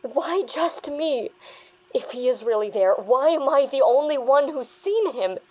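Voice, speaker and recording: A woman's voice: high-pitched, lively intonation, a little slow, warm tone. An actress playing an anxious young British woman in a naturalistic audio drama. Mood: anxious, bewildered. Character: anxious young woman doubting her own sanity.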